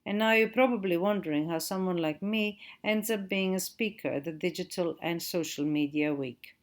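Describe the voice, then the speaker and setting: A middle-aged woman from Croatia with a Croatian accent, giving a measured recorded lecture as a digital marketing trainer. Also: on the low side. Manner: measured, spelling out web addresses. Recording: audio-only recording.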